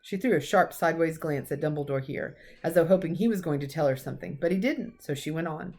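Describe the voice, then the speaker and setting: A woman's voice: sits low, fast talker, darkish tone. A woman reading a children's story aloud expressively, as a teacher.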